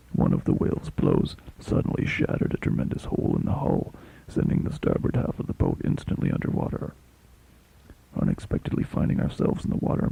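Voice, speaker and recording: Male narrator, shipwrecked sea captain. Extremely low, raspy and husky; theatrical, deliberate storytelling with wide swings. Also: warm tone, rough recording. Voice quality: gravelly, husky bass. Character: shipwrecked fishing captain telling his tale. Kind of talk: dramatic first-person story narration.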